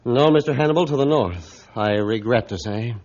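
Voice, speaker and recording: A man playing a middle-aged ship's captain, measured, formal and authoritative, in a 1950s radio drama. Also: mid-range voice, animated, darkish tone, natural voice. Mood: grave. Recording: dull 1950s radio recording.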